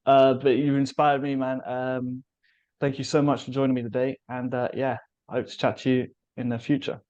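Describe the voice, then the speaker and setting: A man's voice: medium pitch, little pitch movement, moderate pace, darkish tone. Host: friendly, enthusiastic man with a middling voice, recorded slightly dull and lo-fi. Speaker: interviewer, channel host. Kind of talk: casual interview chat.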